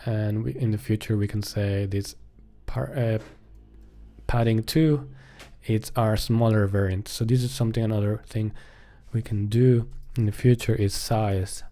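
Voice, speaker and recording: A man's voice: fairly low pitch, fairly even intonation, measured pace, mellow. A man giving casual live-coding commentary, thinking aloud in a hesitant way as he works.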